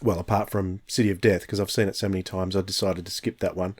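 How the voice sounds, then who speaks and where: Low-voiced man musing on a podcast. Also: flat delivery, fast talker, darkish tone. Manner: enthusiastic, reflective, frequent restarts. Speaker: podcast host. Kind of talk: casual podcast discussion.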